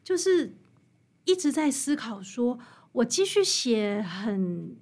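A woman's voice: on the high side, big pitch swings, slow pace, darkish tone. A woman with a Taiwanese Mandarin accent explaining things conversationally as an interview guest. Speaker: author guest.

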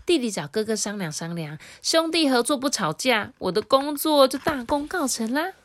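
A woman voicing a mischievous, smug little fox spirit in a storybook reading, in a playful, high, bouncy way. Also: very animated, slow pace, dry recording. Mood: gleeful.

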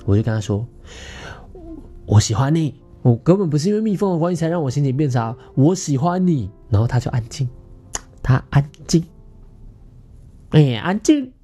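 A man's voice: a little high, animated, normal speed, long pauses, warm tone, soft background music. A man in his thirties with a Taiwanese Mandarin accent, hosting a podcast and telling a personal story in a theatrical, playful way. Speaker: podcast host.

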